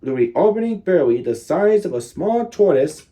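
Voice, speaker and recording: A man in his thirties reading a children's picture book aloud expressively, leaning on key words with deliberate dramatic emphasis. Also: sits high, very animated, warm tone. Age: 30s.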